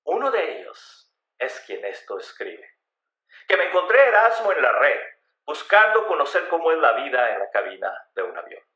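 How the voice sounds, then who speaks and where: Lively, bright male narrator in an echoey room. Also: fairly high pitch, normal speed, highs cut off. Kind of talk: dramatic scripted biographical narration. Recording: roomy, echoing voice recording.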